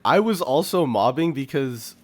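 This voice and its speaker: A man's voice: mid-range voice, animated, moderate pace. A man co-hosting a podcast, explaining his points in a conversational, slangy way. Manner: conversational, slangy, frequently says like. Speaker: podcast co-host. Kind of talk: casual explanatory discussion.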